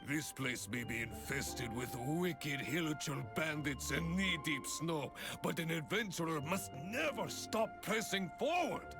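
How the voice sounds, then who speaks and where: An actor playing a hearty, long-winded adventurers' guild leader in a video game, grandiose and florid in delivery. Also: male voice, fairly high pitch, expressive, measured pace, hardly any pauses. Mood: rousing, grandiose. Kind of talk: video game character dialogue. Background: music.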